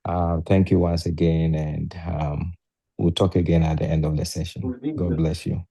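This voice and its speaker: A man with a Ghanaian accent, a group chairman giving a formal, halting welcome address. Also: low-pitched, dark tone, breathy edge, no room sound.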